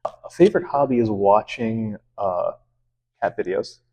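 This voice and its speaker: A man who teaches math, answering interview questions in a relaxed, friendly way with many fillers. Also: fairly low pitch, unhurried. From Lebanon.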